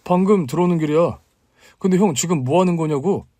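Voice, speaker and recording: A man playing a protective younger brother-in-law in a spoken story, forceful and commanding, shouting when angered. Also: a little high, brisk, no echo, voice pushed up, natural voice. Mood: puzzled.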